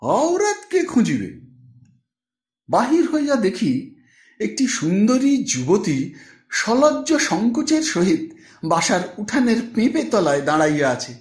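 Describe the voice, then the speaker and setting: A man narrating a literary audiobook as a storyteller, with expressive, deliberate phrasing. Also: high-pitched, reverberant.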